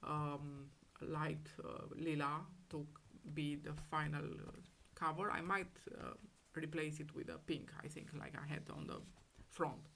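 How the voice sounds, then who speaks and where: A woman with a cold and a raspy, hoarse voice, showing off a handmade photo album in casual, halting talk full of ums. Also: low-pitched, level tone, fairly bright tone, slight background noise. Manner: hesitant, many ums, fond and gushing. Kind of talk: casual craft show-and-tell.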